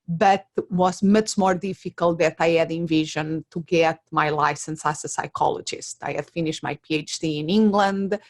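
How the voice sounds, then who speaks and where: A middle-aged woman with a Portuguese accent, speaking as an interview guest and explaining things conversationally through examples and personal stories. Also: lowish voice. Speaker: interview guest, career coach.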